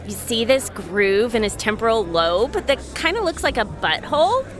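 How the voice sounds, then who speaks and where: A woman's voice: fairly high pitch, very animated, moderate pace, over music. An actress playing a creepy, morbidly delighted woman scientist in an audio drama.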